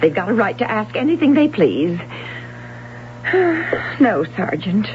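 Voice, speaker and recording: A woman's voice: medium pitch, big pitch swings, a little slow, a little hiss or hum. A woman playing an insistent, defensive middle-aged mother of a missing daughter in a 1970s radio drama, on a muffled old broadcast recording.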